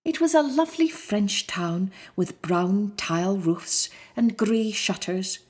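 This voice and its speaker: A woman reads a children's story aloud. Her pace is slow and deliberate. Her pitch moves widely, making the narration animated and expressive. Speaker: storybook reader.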